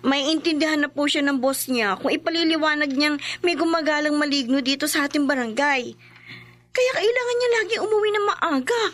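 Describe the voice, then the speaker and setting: A woman's voice: high voice, a little fast, fairly bright tone. An actress playing an anxious young married woman in a Filipino horror radio drama, respectful but insistent, pleading with a Filipino accent. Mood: pleading, upset.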